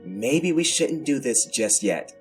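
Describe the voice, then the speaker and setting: A man's voice: medium pitch, big pitch swings, slow, faint music behind, pitched above the performer's own voice. A man voicing a female police detective in a horror story, commanding yet tender and guarded. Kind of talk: dramatized horror audiobook.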